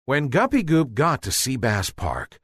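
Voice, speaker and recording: Theatrical male storyteller, wildly swooping pitch. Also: huge pitch swings, fairly bright tone, slightly rough, no echo, clean studio sound. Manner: theatrical, drawn-out emphasis. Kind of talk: children's bedtime story narration.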